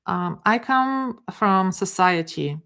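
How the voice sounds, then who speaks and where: A middle-aged woman with a Bulgarian accent, giving a live coaching talk in a halting, unscripted way, restarting and repeating words as she searches for them. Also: medium pitch, expressive, creaky, a bit bright.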